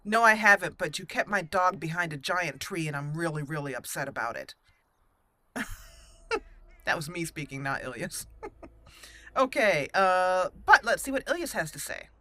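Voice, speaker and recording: Animated, bright-voiced woman gaming. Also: on the low side, normal speed, long pauses. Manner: expressive, talks to the game characters. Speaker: gaming channel host doing a let's play. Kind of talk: casual roleplay game commentary.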